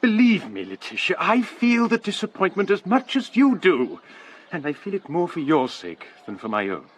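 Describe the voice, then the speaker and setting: Meek, high-voiced English clergyman. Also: wildly swooping pitch, normal speed, breathy edge, exaggerated voice. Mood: resigned, placating. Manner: mild, hesitant. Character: meek, anxious, long-suffering poor clergyman. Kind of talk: period radio drama acting.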